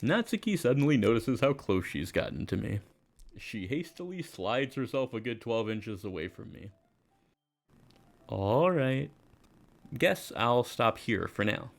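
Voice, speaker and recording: A man's voice: mid-range voice, sing-song, measured pace, gravelly, long pauses. A man playing an easygoing, self-deprecating teenage schoolboy, casual and reflective, in first-person visual novel narration and dialogue.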